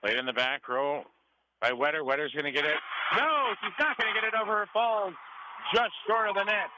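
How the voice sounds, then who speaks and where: A man's voice: high-pitched, fairly even intonation, a little fast. A man with an American accent calling a live match as a radio play-by-play announcer. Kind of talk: live sports commentary. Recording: clearly noisy gym ambience behind. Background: gym noise.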